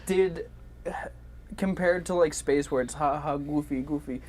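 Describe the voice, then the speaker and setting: A man chatting casually in a halting, informal way, often trailing off as he thinks. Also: a little high, a little mellow, a little hiss or hum. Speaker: online video creator and performer. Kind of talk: casual podcast chat.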